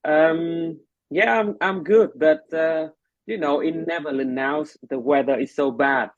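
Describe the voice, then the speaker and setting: A man's voice: a little high, unhurried, dry recording, lo-fi sound. A man with a Vietnamese accent hosting a casual chat, speaking hesitantly with frequent fillers and restarts. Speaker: host, medical lecturer and English tutor. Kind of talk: casual conversational introduction.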